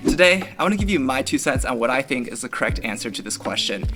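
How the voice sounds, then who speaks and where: A man's voice: mid-range voice, very animated, quick pace, over music. A young man from the United States, whose was Chinese, giving a friendly, conversational scripted explanation for a language-learning video.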